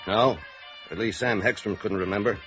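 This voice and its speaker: A man acts a middle-aged American police sergeant of 1950s radio noir. He is low-voiced, terse and dry, with a guarded deadpan delivery. The vintage recording sounds dull, with faint music underneath. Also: moderate pace, lightly acted. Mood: businesslike, dry. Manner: clipped, deadpan.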